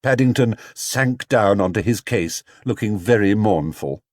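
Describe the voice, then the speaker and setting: A man narrating a children's storybook as an audiobook reader, with expressive, measured storytelling. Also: on the low side, dry recording, clean studio sound.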